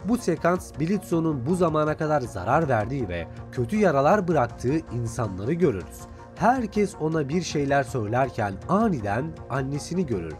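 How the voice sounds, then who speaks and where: A man's voice: a little high, very animated, normal speed, hardly any pauses, a little mellow. A man reading scripted recap and review narration as a video narrator. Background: background music.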